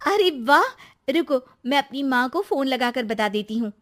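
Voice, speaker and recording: A chatty young wife from a cartoon bedtime tale, played by a woman. Her voice is high and animated, and she comes across as excitable. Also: normal speed. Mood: happy. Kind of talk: cartoon story dialogue.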